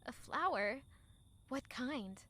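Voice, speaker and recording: A woman acting a sweet, high-born young woman in an audio drama, earnest and expressive, anxious and easily indignant. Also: slow, full character voice. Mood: shy, curious.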